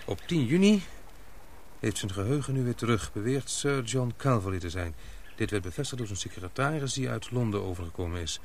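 A man's voice: medium pitch, expressive, brisk, a little hiss or hum, natural voice. An actor playing an earnest, protective young man in a radio drama, speaking in a hesitant, reassuring way. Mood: puzzled. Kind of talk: radio drama acting in Dutch.

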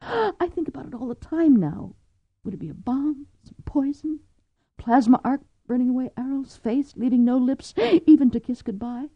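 A woman's voice: high voice, lively intonation, normal speed, no room sound, pitched above the performer's own voice. A woman voicing a frightened, tearful pregnant young woman with an urgent manner. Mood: pleading. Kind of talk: audiobook character voice. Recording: dull lo-fi audio.

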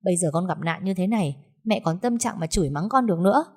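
A woman voicing an angry grown daughter who talks back with shrill, accusing, tearful words. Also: expressive, fast, husky. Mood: resentful. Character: angry grown daughter, sharp-tongued and bitter.

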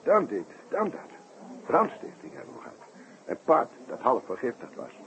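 An actor playing an excitable, worried circus performer in a 1960s radio drama, emphatic and chummy in manner. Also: male voice, medium pitch, normal speed, vocal fry, exaggerated voice. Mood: worried, agitated. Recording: muffled, noisy 1960s radio recording.

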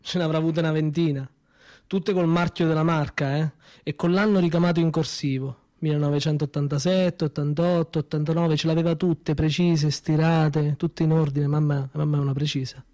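A man tells a theatre monologue as if speaking to a friend. His voice sits a touch high for a man. He stumbles over repeated words and lets some phrases sink almost to a murmur. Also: fairly even intonation, normal speed. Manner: conversational, with hesitant repeated words and sudden soft drops. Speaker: stage actor performing a monologue. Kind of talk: theatrical monologue, first-person storytelling.

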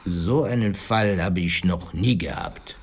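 An actor in a German radio play playing a courteous detective who questions calmly and deliberately. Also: male voice, deep voice, slight background noise. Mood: measured. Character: measured, probing, courteous male detective.

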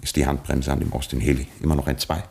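A man playing an absent-minded British lord in a radio play, speaking formally and with dry, resigned understatement in a gravelly voice. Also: extremely low pitch, flat delivery, fast talker, mellow, heavily acted. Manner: formal, hesitant, stately, dryly understated. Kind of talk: German radio play acting.

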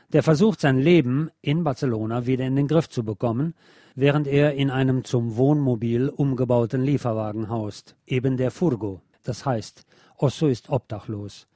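A man presenting a scripted film review as a radio host, in a measured, explanatory way. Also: mid-range voice, dark tone, dry recording, clean studio sound.

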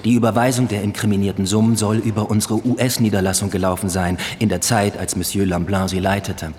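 An actor playing a guarded, evasive company executive with a formal, measured manner in a radio drama. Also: male voice, on the low side, level tone, a little mellow, long reverb tail, a little hiss or hum, close to an everyday voice. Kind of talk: radio drama acting with murmured inner monologue.

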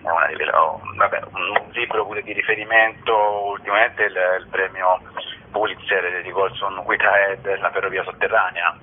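A man's voice: low voice, steady tone, moderate pace, a little hiss or hum. A man, an author being interviewed, speaking casually in a hesitant, rambling, thoughtful way. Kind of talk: casual radio phone interview. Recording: muffled narrow-band telephone line.

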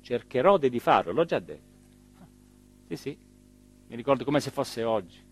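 An actor playing a rueful, self-important small-town mayor in a rambling, hesitant theatrical monologue. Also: male voice, medium pitch, sing-song, husky, soft background music. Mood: reflective, defiant. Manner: rambling, hesitant, emphatic, with repeated phrases and pauses. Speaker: stage actor performing a radio theatre monologue.

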